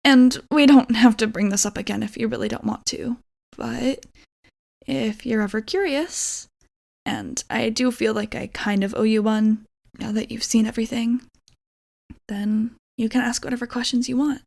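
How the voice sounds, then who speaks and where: A woman playing a shy, apologetic vampire roommate in an ASMR roleplay, speaking hesitantly and gently, often dropping toward a whisper. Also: fairly high pitch, fairly even intonation, normal speed, gravelly. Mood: relieved, grateful. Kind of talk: ASMR roleplay, softly acted monologue.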